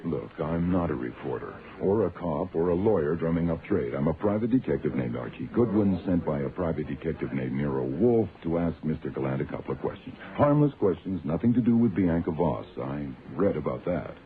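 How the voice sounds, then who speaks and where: An actor playing a breezy, self-assured private detective with a flippant, colloquial delivery in a radio drama. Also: male voice, rumbling low, expressive, a little fast, few pauses. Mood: brisk, persuasive. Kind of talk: hardboiled detective radio drama, narration and dialogue. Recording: tinny band-limited old radio, music behind.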